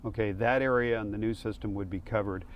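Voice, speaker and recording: A man speaking as a fire official, explaining matters in a halting, matter-of-fact way during an interview. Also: lowish voice, steady tone, muffled recording, a little hiss or hum.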